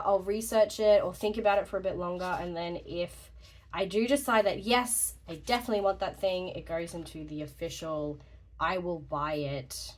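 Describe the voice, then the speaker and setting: A young woman with a slightly congested voice, rambling casually through a vlog update, chatty and laughing often. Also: lowish voice. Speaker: journaling YouTuber.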